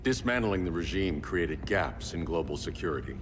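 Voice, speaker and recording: A man's voice: sits low, expressive, normal speed, in a large hall, noisy background. An actor voicing a grim masked male vigilante leader in a game, speaking tersely and sternly.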